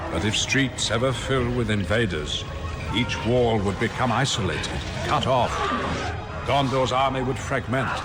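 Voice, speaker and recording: An actor playing a wise, grave old wizard in a fantasy game, speaking in a solemn, measured, portentous way. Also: male voice, mid-range voice, lightly acted. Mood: grave foreboding. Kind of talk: dramatic scripted fantasy game narration.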